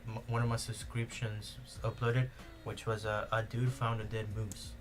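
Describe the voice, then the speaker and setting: Young guy w spanish accent rambling on a podcast, lowish voice, music playing behind. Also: level tone, moderate pace. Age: young adult. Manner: rambling, many fillers and restarts. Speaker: podcast host. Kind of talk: casual chat.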